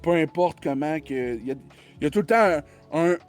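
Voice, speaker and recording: A man's voice: a little high, expressive, brisk, faint music behind. Rambling Quebec French man. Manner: rambling, many restarts and repeated words. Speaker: podcast guest. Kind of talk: casual conversational chat.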